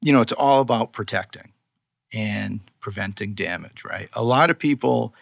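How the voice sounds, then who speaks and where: Boston man, gravelly edge, dry close sound, conversational guest explaining his work. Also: on the low side, normal speed, no room sound. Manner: explanatory, conversational, many "you know"s. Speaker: skincare company co-founder, guest. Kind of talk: casual interview talk.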